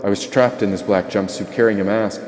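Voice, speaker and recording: A man's voice: on the low side, steady tone, brisk, a little mellow. A man reading an audiobook as a dramatic first-person story narration. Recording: echoey, long reverb tail.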